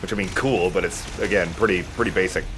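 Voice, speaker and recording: A man's voice: sits low, steady tone, brisk, music in the background. A man giving casual, explanatory commentary as he plays a video game. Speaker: let's play host.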